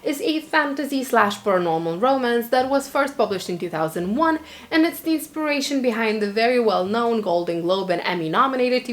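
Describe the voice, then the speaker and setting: A young woman from Lithuania, a native Lithuanian speaker, giving candid, opinionated book reviews in English in a chatty vlog style with the odd filler. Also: fairly high pitch. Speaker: book vlogger.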